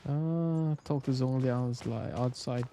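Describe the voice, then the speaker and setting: A man's voice: medium pitch, slow pace, mellow, a little hiss or hum. A man with an Indonesian accent giving casual live-stream commentary on a game, echoing bits of its dialogue.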